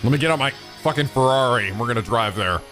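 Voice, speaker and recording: A man's voice: medium pitch, animated, quick pace, a bit bright, faint music behind. A man giving casual, profane, sarcastic commentary as he reacts to game cutscenes. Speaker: let's-play host reacting to cutscenes.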